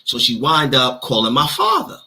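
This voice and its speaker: A man's voice: mid-range voice, normal speed, creaky. A man in his thirties from New York with a New York accent, casually telling his life story in an interview with streetwise slang. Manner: streetwise slang, animated retelling.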